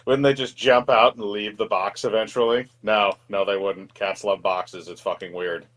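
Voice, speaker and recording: A man's voice: on the low side, fairly even intonation, normal speed, fairly bright tone. A man in casual game banter, reading a character's dialogue aloud in a wry, sweary way. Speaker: co-host voicing the player character.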